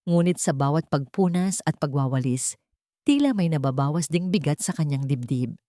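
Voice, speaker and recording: Female Filipino storyteller, lower register, scripted drama narration, very dry recording. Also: lively intonation, moderate pace, mellow, no echo.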